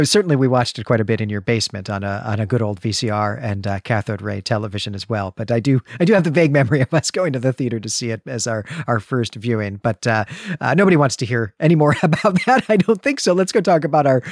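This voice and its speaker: A man of average pitch hosts a casual podcast discussion. His manner is conversational and wry, given to gentle teasing. The sound is close and dry, free of room echo. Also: animated, fast. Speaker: podcast host.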